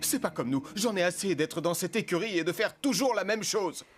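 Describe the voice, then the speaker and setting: High-pitched grumbling cartoon horse. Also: male voice, animated, a little fast, music in the background. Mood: resentful. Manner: complaining, goading. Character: grumbling, rebellious male horse, resentful of orders. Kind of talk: French cartoon dub acting.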